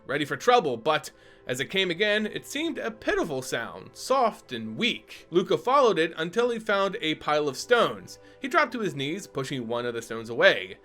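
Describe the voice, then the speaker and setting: A man's voice: a little high, sharp tone. A man giving a theatrical, emphatic dramatic reading of a fantasy story as a storyteller.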